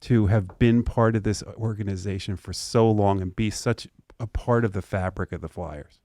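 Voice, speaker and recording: A man's voice: on the low side, normal speed, dark tone, no room sound, studio quality. A man co-hosting a sports show, chatting and bantering casually with a guest.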